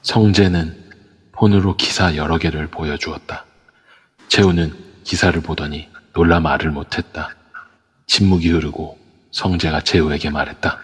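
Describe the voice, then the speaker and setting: A man narrating an audio drama in a calm, measured storytelling voice with a husky tone. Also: extremely low pitch, in a large hall.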